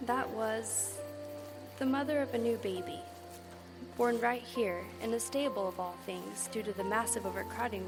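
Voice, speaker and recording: A woman's voice: mid-range voice, creaky, natural voice. A woman playing an eager on-the-scene radio reporter. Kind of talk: live radio drama acting. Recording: live stage with piano music.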